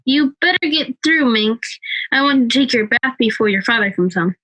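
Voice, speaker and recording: A woman's voice: a little high, expressive, normal speed, fairly bright tone, highs cut off. A woman playing an anxious suburban mother who hides her unease, in a hesitant, nervous manner, in an amateur radio drama. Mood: brisk, motherly.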